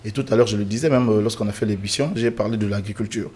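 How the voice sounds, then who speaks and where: A man's voice: fairly low pitch, fairly even intonation, fast, a little mellow, husky. A man from the Republic of the Congo, a guest on a televised panel, speaking earnestly and emphatically with frequent fillers and restarts.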